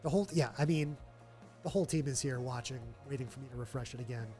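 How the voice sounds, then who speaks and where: A man's voice: medium pitch, fast talker, mellow, faint music behind. A man with a nasal voice hosting a live charity stream, chatting upbeat to fill time. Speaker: livestream host. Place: stadium event room.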